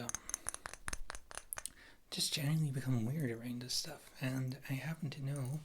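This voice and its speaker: Girl doing a whispery witch healer for asmr rp, wry and gentle, takes her time, bit of background noise. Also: rumbling low. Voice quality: soft, breathy whisper. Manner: rambling, wry, gentle, unhurried. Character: witchy healer, retired adventurer, wry bargaining quest-giver woman. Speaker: ASMR roleplayer. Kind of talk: whispered ASMR fantasy roleplay. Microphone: close ASMR microphone.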